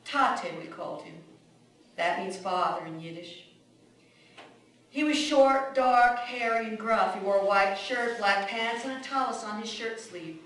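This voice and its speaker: A woman's voice: medium pitch, unhurried, fairly bright tone, lightly acted. A woman playing a blunt immigrant mother, echoey. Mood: reminiscing, tender. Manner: plainspoken, colloquial storytelling with curt commands. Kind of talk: radio drama monologue. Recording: echoey room, distant microphone.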